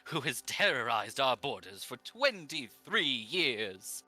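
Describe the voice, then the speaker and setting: A man voicing a pompous, zealous high priest in a fantasy visual novel, proclaiming solemnly and grandly. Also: big pitch swings, takes it slowly, pushed and bright, faint music behind, exaggerated voice. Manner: solemn proclaiming that swells theatrically. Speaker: streamer voice-acting a visual novel.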